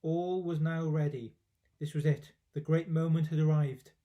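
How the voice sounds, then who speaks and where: A man's voice: fairly high pitch, level tone, normal speed, lo-fi sound. A man reading a children's storybook aloud expressively, with dramatic emphasis, like a teacher.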